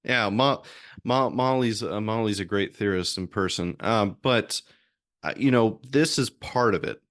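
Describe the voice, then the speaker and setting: A deep-voiced American man interviews a guest in a casual, extroverted way. He circles his questions, hesitating and rephrasing as he goes. The sound is very dry, with hardly any room on it. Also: no echo. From southern United States. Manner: rambling, hesitant, self-correcting. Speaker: podcast host, music theorist. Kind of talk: conversational interviewing.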